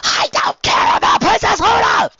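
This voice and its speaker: A man's voice: very high voice, moderate pace, sharp tone, no room sound, soft background music, pitched above the performer's own voice, full character voice. A man voicing a furious, protective female pony in a storybook reading, demanding and exclamatory, on a lo-fi recording. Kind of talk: acted storybook dialogue.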